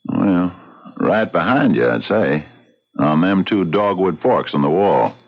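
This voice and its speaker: An actor playing a folksy, steady Old West sheriff with an American Western accent and a laconic drawl, in a radio drama. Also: male voice, sits low, measured pace, full character voice. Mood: matter-of-fact. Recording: dull radio recording with faint noise.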